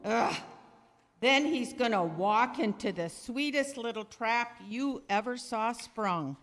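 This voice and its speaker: A woman's voice: a little high, animated, slow, a bit bright. A woman playing a tough, scheming male gang boss in slangy, bossy 1930s radio style. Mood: smug, scheming. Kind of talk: 1930s gangster radio acting. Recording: reverberant hall recording.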